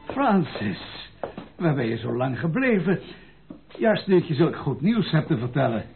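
A lively old grandfather, vintage radio. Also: fairly high pitch, unhurried, creaky, soft background music, heavily acted. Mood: pleased, triumphant. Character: old, money-minded, fond grandfather. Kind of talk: Dutch radio play acting. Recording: narrow, noisy old radio play recording.

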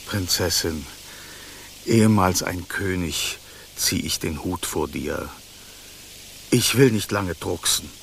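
A man's voice: low voice, slow, gravelly, long pauses, a bit bright, slight background noise, heavily acted. An actor playing a talking golden snake in a radio play, a former king who speaks in a theatrical, courtly, plaintive way. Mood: weary, pleading. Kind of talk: German radio play acting.

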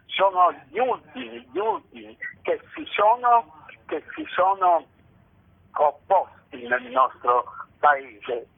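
An older man speaks slowly and reflectively over a thin telephone line. His voice is gravelly, and he often halts and repeats phrases for emphasis as he gives interview answers. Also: fairly high pitch. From Italy. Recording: tinny band-limited phone line.

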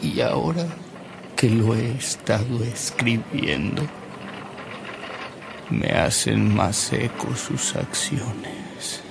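An actor playing a devout, earnest older man in a Mexican radio drama, speaking solemnly and deliberately with dramatic pauses. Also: mid-range voice, expressive, creaky, noisy background, heavily acted. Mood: solemn, reverent. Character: frail, devout older man, a converted skeptic, reverent and earnest.

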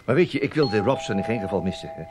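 An actor playing a wry, self-assured investigator in a radio play, explaining himself to a superior. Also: male voice, on the low side, lively intonation, quick pace, background noise, lightly acted. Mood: wry, defensive. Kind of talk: Dutch radio play acting.

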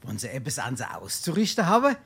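A man's voice: medium pitch, huge pitch swings, fast, fairly bright tone, slightly rough, heavily acted. A man playing a roguish, boozy sponger in a comic dialect play, with a Hessian Darmstadt accent and a hammy, wheedling delivery. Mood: scheming, wheedling. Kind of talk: comic dialect stage acting.

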